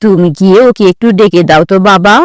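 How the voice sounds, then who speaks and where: A woman playing a gentle, worried mother of a young boy in a children's cartoon. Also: mid-range voice, fairly even intonation, fast, no room sound, studio quality, lightly acted. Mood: resigned, concerned. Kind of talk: children's moral cartoon dialogue.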